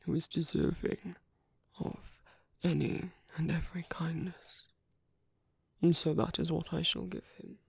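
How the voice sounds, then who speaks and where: A British man playing a caring, fatherly vampire priest in an ASMR roleplay, speaking softly and slowly into a close microphone with formal, gentle courtesy. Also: fairly high pitch, flat delivery, no room sound, full character voice. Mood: warm, adoring. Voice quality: soft, breathy, often whispering. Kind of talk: soft ASMR roleplay, intimate and slow. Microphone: close microphone, dry.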